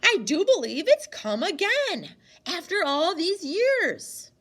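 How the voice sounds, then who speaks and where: A woman voicing a suspicious, blunt old grandmother with a sharp, indignant manner in a storybook reading. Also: very high-pitched, sing-song, slow pace, voice pushed up, heavily acted. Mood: astonished. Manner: sharp, retorting.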